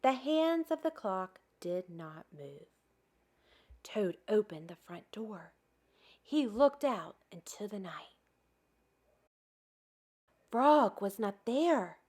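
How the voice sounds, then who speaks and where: A woman hosting a children's storytime, narrating with expressive, deliberate delivery for very young listeners. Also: mid-range voice. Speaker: museum storytime host. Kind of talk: children's read-aloud narration.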